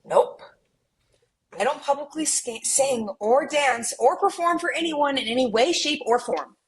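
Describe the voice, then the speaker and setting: A woman playing a dry, stubborn, principled Millennial twin at a romcom script read, with a deadpan manner. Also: sits high, unhurried, poor audio.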